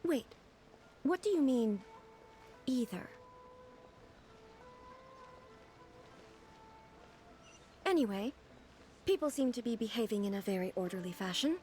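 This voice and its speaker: An actress voicing a composed, formal divine ruler who speaks in a calm, measured way in a game cutscene. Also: female voice, on the high side, soft background music, lightly acted. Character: composed divine woman ruler, regal and curious.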